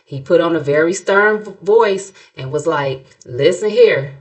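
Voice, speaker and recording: A woman's voice: fairly low pitch, animated, a little slow. A middle-aged American woman giving sassy, gossipy reaction commentary as a host, in a colloquial, playfully mocking, dramatic storytelling way. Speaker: YouTube commentary host, narrator.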